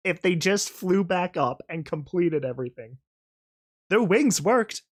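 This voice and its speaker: Mid-pitched narrator-host: expressive dramatic reading, casual emphatic asides. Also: unhurried.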